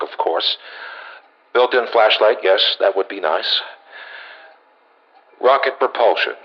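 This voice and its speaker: Man acting low-voiced Doctor Masterson, muffled recorder log. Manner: formal yet musing, dryly humorous. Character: gruff, wry male senior scientist, Doctor Masterson. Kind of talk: scripted in-game audio log dictation.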